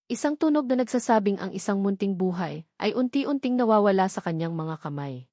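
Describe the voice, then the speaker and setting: A woman tells a scripted story as a storyteller. Her delivery is measured and sentimental, with phrases set out like a storybook. The sound is very dry, free of any room echo. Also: medium pitch, lively intonation, dark tone.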